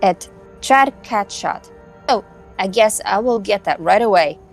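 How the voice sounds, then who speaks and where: Une femme jouant une hackeuse désinvolte et complice. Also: female voice, mid-range voice, animated, a little slow, soft background music. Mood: breezy, teasing. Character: breezy, flirty female hacker scheming confidently. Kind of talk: amateur visual novel voice acting.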